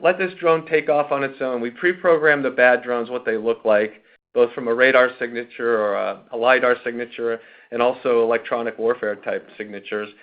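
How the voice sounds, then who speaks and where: A middle-aged or older American man speaking as a company executive, giving a conversational, anecdotal, unscripted answer that halts and repeats words. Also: medium pitch. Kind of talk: unscripted spoken answer on an earnings call. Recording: narrow phone-like conference call line.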